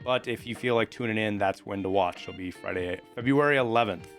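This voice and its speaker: A man's voice: on the low side, brisk. A man hosting a video game news update in an informal, conversational, explanatory way. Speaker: gaming news host. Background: background music.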